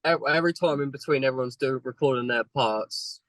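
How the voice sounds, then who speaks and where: Brief: English guy, mid-pitched, rambling anecdotes with chuckles, dry close sound in a car. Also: fairly quick, fairly bright tone, no echo. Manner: rambling storytelling, chuckles between lines. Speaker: band member. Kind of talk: casual interview chat. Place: inside a parked car.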